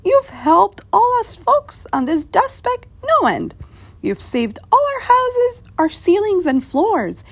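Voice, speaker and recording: A woman voicing a tiny, grateful male mayor with a high piping voice. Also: big pitch swings, takes it slowly, dry recording, heavily acted. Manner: squeaky, piping.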